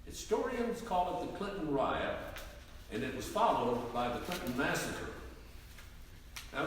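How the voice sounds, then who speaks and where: A man narrating history from a script in a live dramatic reading, deliberate and theatrically emphatic. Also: medium pitch. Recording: echoey hall, distant mic, faint noise.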